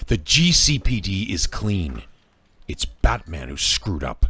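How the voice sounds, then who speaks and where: A man playing a weary, defensive police commissioner with a heavily raspy voice in a superhero fan dub. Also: low voice, sing-song, slow, bright, forceful tone, heavily acted. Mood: defensive, earnest. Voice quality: very husky, raspy.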